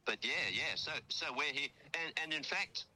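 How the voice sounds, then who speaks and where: A man's voice: on the high side, normal speed, bright, forceful tone. An Australian man talking as a radio correspondent from abroad, chatty and rambling, full of fillers and restarts. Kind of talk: casual chat on a phone link. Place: outdoors at a memorial hall abroad.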